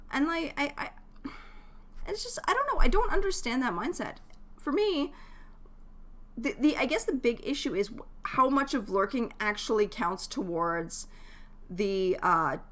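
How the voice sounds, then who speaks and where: A woman's voice: medium pitch, fairly bright tone. A woman streamer chatting casually to her live viewers, giving advice in a rambling, jokey way and often breaking off mid-thought. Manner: jokey, laughs easily. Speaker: game streamer.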